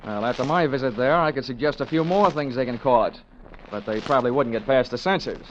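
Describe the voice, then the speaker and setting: An actor playing a cynical, hard-boiled American investigator, narrating and talking with dry, breezy sarcasm in 1940s radio style. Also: male voice, mid-range voice, fast talker, slight background noise, lightly acted. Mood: sardonic narration. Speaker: lead and first-person narrator. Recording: dull vintage radio recording, music behind.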